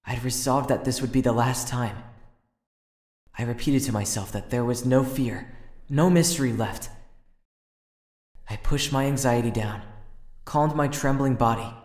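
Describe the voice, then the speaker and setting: A man's voice: mid-range voice, measured pace, long pauses, lightly acted. A man playing an earnest, anxious teenage boy, narrating dramatically in the first person for an anime fandub. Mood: tense, solemn. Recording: roomy echo, loud music behind.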